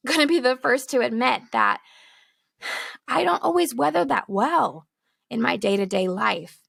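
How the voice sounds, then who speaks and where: A woman hosting a podcast who is losing her voice and sounds hoarse. She talks candidly and laughs between her points as she teaches at home into a close microphone. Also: on the high side, a bit bright, no room sound. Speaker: podcast host. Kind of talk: casual teaching talk. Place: home with children nearby. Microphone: studio microphone, close.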